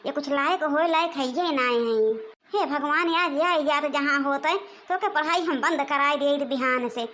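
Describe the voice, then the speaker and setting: A high-pitched village mother-in-law from an animated comedy, played by a woman. She has an Awadhi accent. She frets, laments and scolds in a nagging way. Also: unhurried, slight background noise. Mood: exasperated, regretful.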